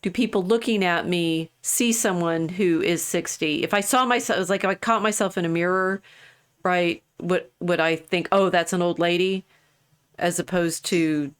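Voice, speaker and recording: A woman's voice: fairly low pitch, steady tone, moderate pace, clean tone. A woman in her sixties hosting a podcast in casual chat, rambling and restarting her sentences, with frequent laughs. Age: 60s. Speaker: podcast host.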